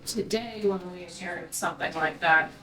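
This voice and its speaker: Woman artisan, relaxed and chatty, lowish voice. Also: normal speed, echoey room. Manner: relaxed, conversational. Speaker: silversmith interpreter. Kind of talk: casual explanation to visitors.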